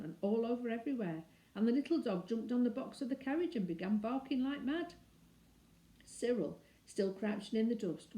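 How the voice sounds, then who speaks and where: A woman's voice: mid-range voice, warm tone. A woman reading a children's story aloud expressively, dramatizing the lines with shifting emphasis. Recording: roomy, echoing indoor sound.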